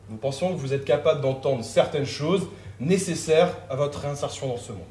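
A man acting a calm, formal doctor figure in a play, speaking in a measured, careful, hesitant way. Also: fairly high pitch, lightly acted. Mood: grave, careful. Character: calm, formal male doctor figure breaking hard news. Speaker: stage actor in a play. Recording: roomy, echoing, noisy stage recording.

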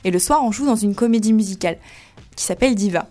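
Chatty woman explaining her dance gig, mid-pitched, easy and talkative. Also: fast, darkish tone. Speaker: cabaret dancer guest. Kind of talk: explaining her work in an interview.

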